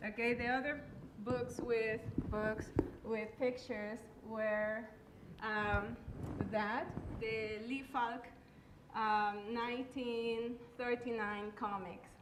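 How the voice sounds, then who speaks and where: A woman's voice: a little high, flat delivery, slow. A woman with a Peruvian Spanish accent giving a personal talk as a guest speaker, hesitant, nervous and self-deprecating. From Peru. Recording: echoey room, clear background noise.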